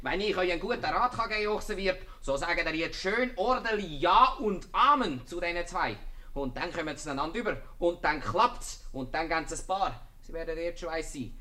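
An actor playing a shrewd, bossy bailiff with a Swiss German accent, blunt and persuasive, in a 1950s radio comedy. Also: male voice, sits high, animated, moderate pace, sharp tone. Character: shrewd, bossy bailiff who settles quarrels.

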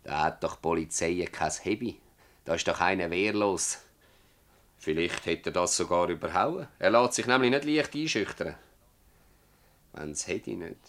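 An actor playing a stubborn, bitter old village farmer in a Swiss German dialect radio play, rambling and emphatic with rhetorical repetition. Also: male voice, low-pitched, lively intonation, normal speed, fairly bright tone. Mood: indignant.

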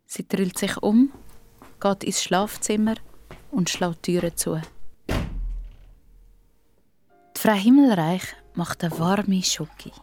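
A woman's voice: medium pitch, slow, pauses often, darkish tone, soft background music. A woman with a Swiss German accent narrating a scripted story for children.

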